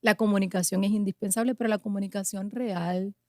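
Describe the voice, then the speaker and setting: A woman speaks in an earnest, emphatic way, often building her points into rhythmic lists. Her voice sits at an ordinary middle pitch. The sound is dry and close, with no room echo. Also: steady tone, brisk. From Puerto Rico. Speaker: guest, pastor and mother. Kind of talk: conversational interview answers.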